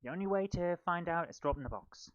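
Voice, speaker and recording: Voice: mid-range voice, animated, fast talker, no echo. A comedian delivers a live stand-up monologue to an online audience. The delivery rambles and pokes fun at itself, in a close, dry recording that sounds slightly dull. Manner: rambling, self-deprecating. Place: small book-lined room at home.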